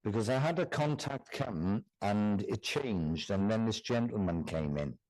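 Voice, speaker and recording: A male medium addresses a church congregation during a service. His speech is halting and repetitive, and he keeps checking that his listener follows him. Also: fairly low pitch, lively intonation, darkish tone, poor audio. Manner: repetitive, checks in often with "do you understand?". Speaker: guest medium.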